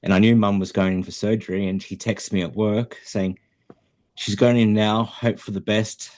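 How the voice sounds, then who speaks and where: A middle-aged man speaks in a very low, deep voice. He chats at an easy, halting pace, full of ums and pauses, with a dry wit running through his casual podcast talk. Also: flat delivery, darkish tone. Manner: hesitant, many ums, dry humour. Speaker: podcast co-host. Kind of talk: casual chat.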